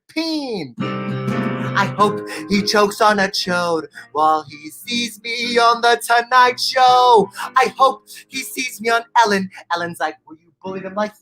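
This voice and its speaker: A man's voice: very high-pitched, unhurried, soft background music. A young man performing comedy songs and patter in a theatrical, self-mocking way. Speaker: musical comedian. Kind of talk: comedy songs and stage patter for an online show.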